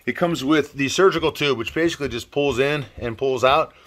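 A man's voice: medium pitch, fairly quick, fairly bright tone. A man giving a casual, plain-spoken product walkthrough as a reviewer, offhand in manner, recorded on a handheld camera in a home basement music room.

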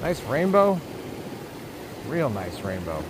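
A man's voice: medium pitch, big pitch swings. A young man in his twenties giving upbeat, casual commentary to his viewers while fishing, often talking to the fish and chuckling. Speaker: fishing vlogger. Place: outdoors by a rushing stream. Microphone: action camera, chest or handheld. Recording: outdoor camera mic with loud stream noise. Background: rushing water.

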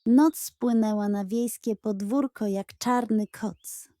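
A woman narrating a scripted bedtime story for children in an expressive, playful way. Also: on the high side, slow pace, no room sound, clean studio sound.

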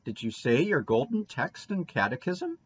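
A prying, scolding older woman of a storybook, put on by a male reader, questions and admonishes in a raised, swooping pitch. The recording is dull and dry. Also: very animated, slightly rough. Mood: stern, quizzing. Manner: brisk interrogating, admonishing. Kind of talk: storybook character reading.

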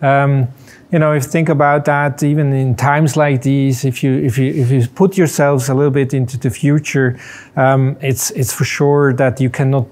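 A man's voice: medium pitch, level tone, darkish tone. A man in his forties, a company CEO, speaking unscripted in a showroom, full of restarts and fillers. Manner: halting, many restarts and fillers. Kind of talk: unscripted corporate interview and demo. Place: large company showroom with machines.

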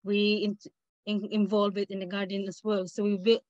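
A woman's voice: mid-range voice, flat delivery, normal speed, darkish tone, no echo. A middle-aged woman with a Filipino accent speaking informally as a participant on a video call. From Philippines. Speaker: community researcher, webinar participant. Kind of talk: informal question in a webinar. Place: small cluttered room indoors. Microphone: video call, laptop microphone. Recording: dull lo-fi video call audio.

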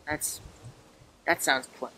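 A young man hosting a casual podcast, loud and rambling as he chats with his friend. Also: takes it slowly, slight background noise. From California. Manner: loud, rambling, self-described loud voice. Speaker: podcast host. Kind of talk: casual chat.

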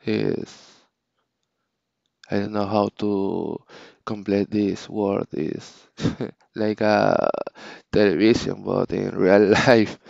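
Slow, halting man with a Spanish accent. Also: on the low side, fairly even intonation, gravelly, a little mellow, no echo, muffled recording. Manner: halting, searching for English words, frequent restarts. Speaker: channel owner. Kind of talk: casual unscripted vlog talk.

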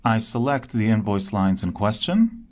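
A man narrating a scripted step-by-step software tutorial in a clear instructional manner. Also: lowish voice, expressive, measured pace.

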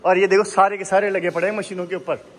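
A man's voice: sits high, little pitch movement, quick pace, slight background noise. A man narrating a family vlog, teasing and coaching relatives on gym machines. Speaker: vlogger filming his family. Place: large indoor gym. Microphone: handheld phone camera. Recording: roomy gym with crowd chatter.

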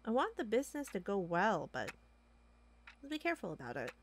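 A woman's voice: medium pitch, huge pitch swings, brisk, slight background noise. A woman playing a playful, nosy office underling in an audio roleplay, with a teasing manner. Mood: teasing, casual. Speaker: voice actor. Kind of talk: amateur audio roleplay acting.

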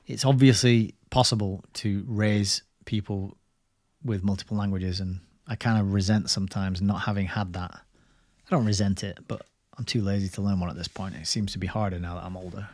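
English male host, forties, casual rambling livestream chat, lowish voice, dry close boom mic. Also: steady tone, normal speed, a little mellow, dry recording. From England. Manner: rambling, chatty, laughs often. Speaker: livestream host reading a book. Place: home study lined with bookshelves. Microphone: studio mic on boom arm.

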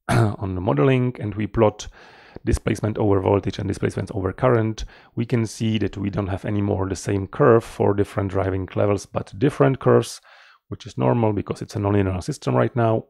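Low, gravelly man presenting research. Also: mellow, dry recording, studio quality. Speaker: researcher presenting a conference paper. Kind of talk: explanatory technical presentation with slides.

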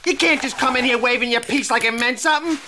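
An actor playing a whiny, foul-tempered mobster with a New York accent, ranting in a strained voice. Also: male voice, fast talker, heavy background noise. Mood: outraged. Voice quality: shrill, strained. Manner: ranting, excitable.

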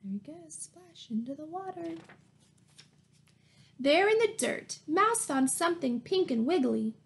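A woman's voice: high-pitched, big pitch swings, a little slow, gravelly. A woman in her thirties reading a picture book aloud to young children, encouraging them with questions and prompts. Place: small plain room indoors at home. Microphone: webcam at arm's length.

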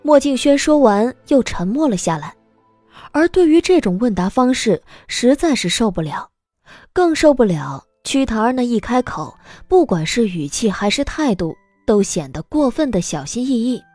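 A woman's voice: a little high, very animated, moderate pace, darkish tone, no echo, faint music behind. A woman narrating a scripted story as the narrator of an audio drama.

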